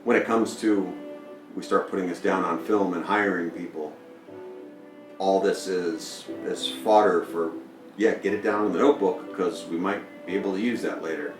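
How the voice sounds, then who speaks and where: A man's voice: deep voice, fairly even intonation, moderate pace. A man co-hosting a podcast in casual chat, rambling loosely with many fillers and restarts. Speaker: podcast co-host. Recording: distant and echoey, with music playing behind.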